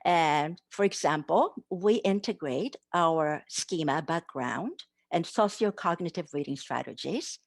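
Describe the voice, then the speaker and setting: A woman's voice: deep voice, animated, measured pace, vocal fry, few pauses, no room sound. A woman whose first language is Japanese, giving an academic conference talk over slides on a video call, earnest, with fillers and restarts. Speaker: academic presenter.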